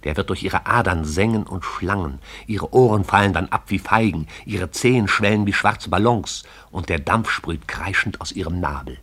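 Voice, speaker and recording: A man narrating a radio drama in a measured, lyrical style. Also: low-pitched, a bit bright, slightly rough.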